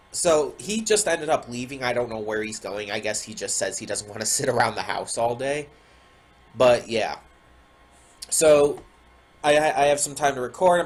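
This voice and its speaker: A man's voice: medium pitch, moderate pace. A man with a stuffy nose and a slightly sore throat, talking casually at home as a reaction video host, rambling with lots of ums. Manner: rambling, many ums and restarts. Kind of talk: casual chat to camera. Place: home room with a window.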